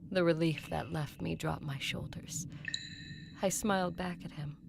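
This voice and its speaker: A woman playing an ancient vampire conqueror, fond and watchful, narrating her own story in an audio drama with a creaky voice. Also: deep voice, steady tone, measured pace, full character voice. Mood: tender, awed. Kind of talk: dramatized first-person audio fiction narration. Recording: clearly noisy recording.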